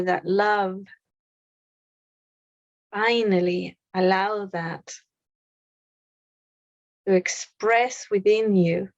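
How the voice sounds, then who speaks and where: A woman leading a guided meditation in a soothing, unhurried way, with pauses between short phrases. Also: medium pitch, dry recording, lo-fi sound.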